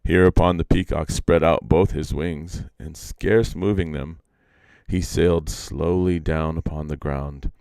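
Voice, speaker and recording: A man reading folk legends aloud as a storyteller, expressive and theatrical. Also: very deep voice, measured pace, clean tone, no echo.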